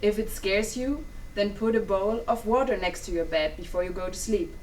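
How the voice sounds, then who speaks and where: A young woman in a staged play reading, playing a calm, knowing grandmother who gives mystical advice. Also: medium pitch, moderate pace, lightly acted. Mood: knowing, reassuring. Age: young adult. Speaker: student actor in a dramatic reading. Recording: distant mic, noisy room ambience.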